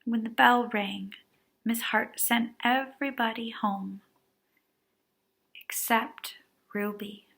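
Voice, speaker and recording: A woman storyteller reads a children's book at an unhurried pace. Her voice is bright with a creaky edge, and she leans into words dramatically and adds small asides for young listeners. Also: on the high side, steady tone. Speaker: storyteller reading a picture book aloud. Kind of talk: expressive children's read-aloud with asides.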